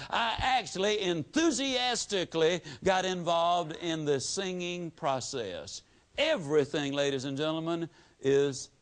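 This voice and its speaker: A middle-aged man giving a motivational sales speech, telling folksy stories with rapid-fire repetition and dramatic pauses. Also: high voice, fairly bright tone. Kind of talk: motivational speech to a live audience.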